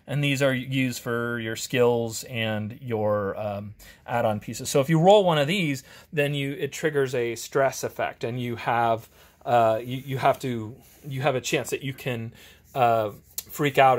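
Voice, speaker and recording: A man giving a casual, off-the-cuff game review as an amateur host, rambling, enthusiastic and self-deprecating. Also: mid-range voice. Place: home garage.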